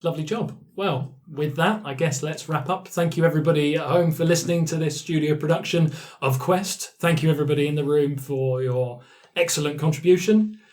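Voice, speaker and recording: A man's voice: on the high side, fairly quick, few pauses, darkish tone. Man casually chatting as game host. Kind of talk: casual post-game discussion.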